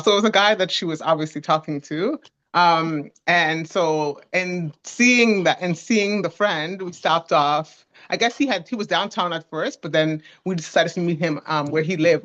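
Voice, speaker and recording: A woman's voice: deep voice, highs cut off. Giggly woman in her forties. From Canada. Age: 40s. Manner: giggly, animated, restarts her words. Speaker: guest. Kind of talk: casual storytelling chat.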